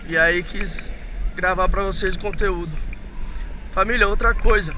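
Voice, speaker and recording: Hoarse brazilian guy rambling on camera while he rides, kinda high voice, loud wind and street noise. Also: a little slow. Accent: Brazilian Portuguese. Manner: chatty, rambling, addresses viewers as family. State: hoarse from a cold. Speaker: vlogger. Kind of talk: casual vlog talk while riding. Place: outdoors on city streets, riding an electric tricycle. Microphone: action camera on the handlebars.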